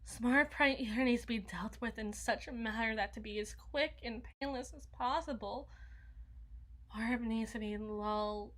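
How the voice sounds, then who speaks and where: A woman's voice: a little high, moderate pace, a bit bright, close to an everyday voice. A woman playing a flustered, needy, socially awkward young vampire in an intimate ASMR roleplay, stammering and slipping into whispers. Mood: musing, coaxing. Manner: stammering, breathy asides, dropping into whispers.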